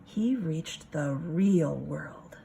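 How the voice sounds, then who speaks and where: A woman reading a picture book aloud like a kindergarten teacher, expressive, pausing for casual asides to the children. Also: low-pitched, gravelly, slight background noise.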